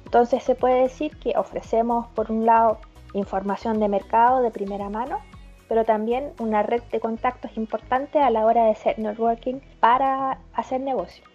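A woman born in Chile and raised in Germany speaks in a calm, measured way, with a mellow tone that sits a little high. Her recording sounds slightly dull and lo-fi. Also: faint music behind. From Chile, raised in northern Germany. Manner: measured and composed, with small restarts. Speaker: guest, regional trade representative. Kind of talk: interview answers.

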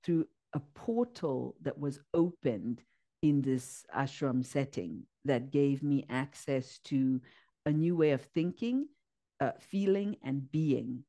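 Zimbabwe-born woman with a mellow voice. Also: rumbling low, takes it slowly. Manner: earnest, searching for words with many fillers and laughs. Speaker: podcast guest. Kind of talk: casual interview, personal story.

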